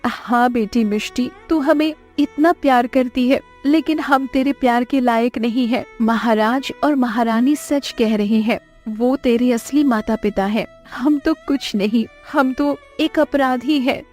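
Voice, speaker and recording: A woman plays a humble village fisherwoman in a cartoon, full of remorse. Her voice is fairly high and pleading, over soft music. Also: a little slow, hardly any pauses, natural voice. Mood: sorrowful, guilty. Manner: pleading, self-blaming. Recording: music under the voice.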